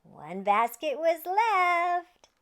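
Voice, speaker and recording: Children's read-aloud host, female: high-pitched, unhurried, playful, exaggerated delivery. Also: sing-song, fairly bright tone. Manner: playful, exaggerated, talking directly to young children. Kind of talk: animated picture-book read-aloud.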